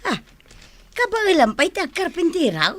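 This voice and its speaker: Old village mother, impressionable, high voice, unhurried and swooping, radio comedy drama. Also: very animated, a bit bright, heavily acted. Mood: surprised. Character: elderly village mother-in-law, easily impressed.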